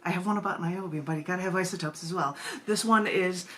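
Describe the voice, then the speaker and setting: A woman's voice: fairly low pitch, quick pace, a little hiss or hum. A woman who hosts a virtual poetry open mic, speaking informally as she shares and reads her poems. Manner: informal, giggly, digressive asides in chat. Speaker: poet, open mic host. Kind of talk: chatty hosting of a virtual poetry reading, with poems read aloud. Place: virtual meeting, live video stream.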